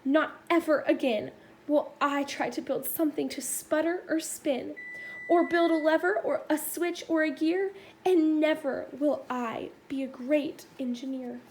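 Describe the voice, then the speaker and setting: A woman's voice: high voice, measured pace, darkish tone. A woman voicing a shy, self-doubting young girl inventor in a storybook, dramatic and dejected. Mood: dejected, embarrassed. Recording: faint background noise.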